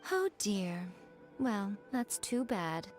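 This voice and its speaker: A teasing, aloof priestess from a video game. Her mid-pitched female voice is wry and languid, with playful condescension in the delivery. Music sits underneath. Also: sing-song, drawn-out words. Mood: nonchalant. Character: sly, teasing, aloof shrine priestess lady.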